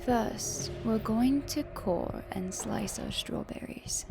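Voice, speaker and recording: Woman playing a whispering, creaky vampire countess. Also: on the high side, over loud music. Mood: hushed, instructive. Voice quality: breathy whisper with creaky fry. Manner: slow, deliberate, genteel. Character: genteel, macabre vampire countess hosting a cookery video. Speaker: host. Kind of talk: ASMR recipe narration.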